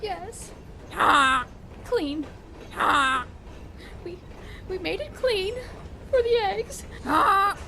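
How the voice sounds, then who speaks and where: A woman voicing a nervous, traumatised mother swan, timid and halting, in a cartoonish audio drama. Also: very high-pitched, animated, creaky, sharp tone, a little hiss or hum, exaggerated voice. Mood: shaken.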